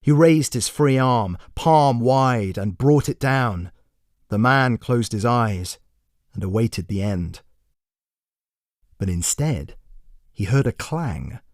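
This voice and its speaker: A man narrating a dramatic scripted fantasy story with measured, deliberate phrasing. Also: mid-range voice, expressive, no echo, clean studio sound. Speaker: author and narrator.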